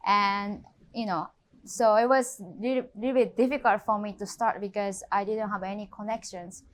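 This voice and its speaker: A woman in her thirties from Japan, speaking English with a Japanese accent as a real estate agent in an interview, pausing often and filling with "you know" and "uh.". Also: mid-range voice, fairly even intonation, fairly bright tone. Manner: halting non-native English with frequent fillers. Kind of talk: interview conversation.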